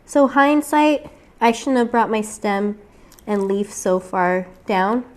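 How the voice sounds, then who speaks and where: A woman hosts a casual crafting tutorial, her mid-pitched voice a little weak as she gets over a cold. She explains each step in a loose, chatty way and thinks aloud, with frequent fillers along the way. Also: steady tone, takes it slowly.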